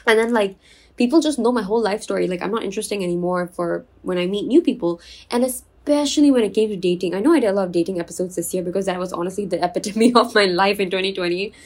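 A young woman from Singapore hosting her own podcast in a chatty, confiding way, enunciating clearly with a soothing voice. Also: medium pitch, quick pace. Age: 20s. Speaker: podcast host. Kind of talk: casual solo podcast chat.

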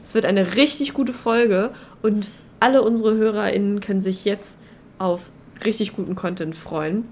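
A woman's voice: mid-range voice, moderate pace, a little mellow. A young woman co-hosting a podcast in casual chat, with a joking, ironic manner. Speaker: podcast co-host.

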